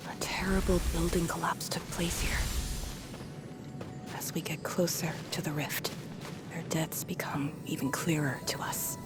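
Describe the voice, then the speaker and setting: An actress playing a haunted, wistful young woman in a video game, speaking softly and hesitantly in a breathy near-whisper. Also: deep voice, fairly even intonation. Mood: somber. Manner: slow, hesitant, pensive. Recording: music behind the voice. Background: background music.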